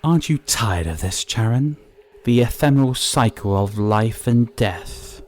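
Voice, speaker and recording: A man's voice: medium pitch, expressive, unhurried, a little mellow, lightly acted. A man playing a persuasive, pompous villain with grand schemes in an audio drama. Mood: coaxing. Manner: coaxing, pompous.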